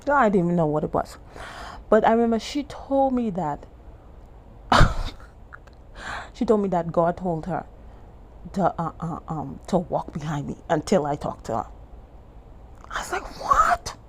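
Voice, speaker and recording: A woman from the Bahamas with a Bahamian accent, telling a church testimony in casual, animated talk and jumping high when she quotes people. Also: unhurried, long pauses, a little hiss or hum. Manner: animated, acts out quoted lines in a much higher voice; restarts and trails off often. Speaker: testimony vlogger.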